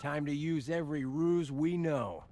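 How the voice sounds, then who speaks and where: An actor playing a confident, decisive American colonel in a war video game, speaking bluntly. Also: male voice, fairly high pitch, unhurried, background noise, close to an everyday voice. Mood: determined. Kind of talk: video game cutscene dialogue acting. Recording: music behind the dialogue.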